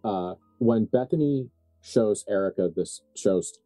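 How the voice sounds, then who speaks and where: A man with a low, very dark and dry-sounding voice runs a tabletop game in casual talk. He is informal and wry, with faint music behind him. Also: slow pace, no echo. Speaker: game master.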